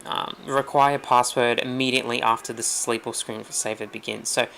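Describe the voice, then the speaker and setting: Gravelly-voiced man explaining settings. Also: mid-range voice, a little hiss or hum. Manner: relaxed, rambling, many ums. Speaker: tech tutorial host. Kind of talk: casual screen-recorded walkthrough.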